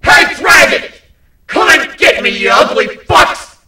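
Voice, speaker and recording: A defiant griffin pirate, performed by a man bellows taunts at full volume in a fantasy reading. The shout is very high and piercing, echoing as if from a distance. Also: very animated, takes it slowly, heavily acted. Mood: defiant, taunting. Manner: yelling, taunting.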